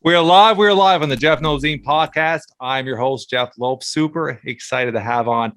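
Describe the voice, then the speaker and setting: A man's voice: medium pitch, moderate pace, a bit bright. A man hosting a podcast, chatting casually with an enthusiastic, informal manner. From Canada. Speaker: podcast host. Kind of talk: casual interview chat.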